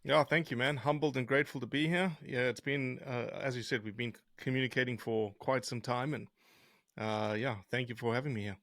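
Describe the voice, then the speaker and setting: A middle-aged man with a softened South African accent talks as an interview guest, telling stories in a conversational way. His mid-pitched voice sits in a very dry space with little room sound. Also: steady tone, fairly quick, dry recording. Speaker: interview guest, conservation scientist. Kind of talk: conversational storytelling.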